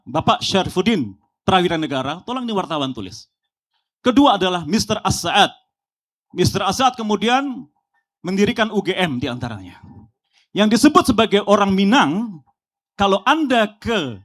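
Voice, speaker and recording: A male preacher giving an emphatic speech at a press gathering, listing facts with rising force. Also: high voice.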